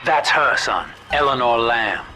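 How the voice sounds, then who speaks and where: A male actor voices a slick, wry older businessman with a drawl. His medium-pitched voice is familiar and folksy, that of a world-weary schemer. It is video game dialogue with noticeable machinery-like ambience behind it. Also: unhurried, noisy background, close to an everyday voice. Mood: urgent, alarmed.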